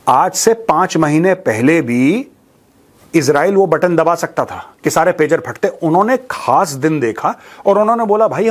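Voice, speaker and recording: An Indian man hosting informal news commentary, speaking in a blunt, colloquial, mocking way and switching into Indian-accented English. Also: mid-range voice. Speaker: commentator and show host.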